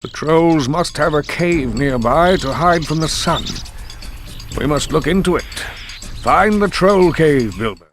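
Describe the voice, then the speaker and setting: A man's voice: fairly high pitch, lively intonation, a little slow, faint music behind. A man voicing an authoritative old wizard, stern but kindly, in a fantasy video game. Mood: resolute. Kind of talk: video game cutscene acting.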